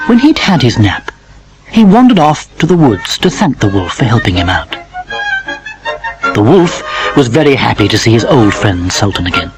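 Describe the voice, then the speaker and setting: A man narrating a scripted children's fairy tale as an expressive storyteller. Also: mid-range voice, normal speed, over music, poor audio.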